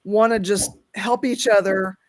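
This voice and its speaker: A woman hosting an online recovery meeting, talking softly and reflectively over a video call. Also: mid-range voice, steady tone, measured pace. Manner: reacts often, soft and reflective. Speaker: meeting host. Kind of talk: casual group chat.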